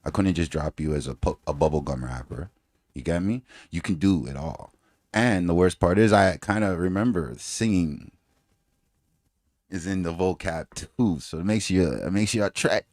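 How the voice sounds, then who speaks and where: A man's voice: sits low, moderate pace, darkish tone. A man runs a loose, slang-heavy interview. He laughs easily and keeps the talk casual. The sound is dry and close, with no room echo. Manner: laid-back slangy talk, laughs often. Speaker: show host.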